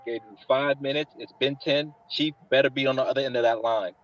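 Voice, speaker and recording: A man's voice: mid-range voice, fairly even intonation, a little fast, a little hiss or hum, natural voice. Man playing an angry captain, dull lo-fi sound. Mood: impatient. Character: angry, impatient army captain commanding a mission. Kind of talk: screenplay table read.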